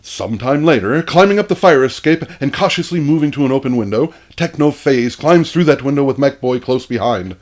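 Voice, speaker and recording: Man as a superhero radio serial narrator, medium pitch. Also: lively intonation, natural voice. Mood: dramatic narration. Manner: measured, storytelling. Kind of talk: scripted radio play narration.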